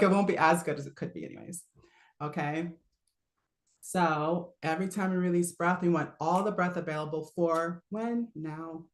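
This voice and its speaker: A relaxed woman with a low voice coaches singers in a live online class. She chats easily, laughs often and breaks off to demonstrate sounds. The recording has a roomy echo around her. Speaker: voice teacher. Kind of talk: live online singing lesson.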